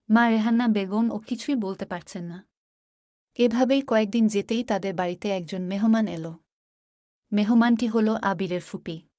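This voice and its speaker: A woman narrates a scripted story. Her voice is warm and dark, sitting at a medium pitch. It is recorded dry and close. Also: no echo.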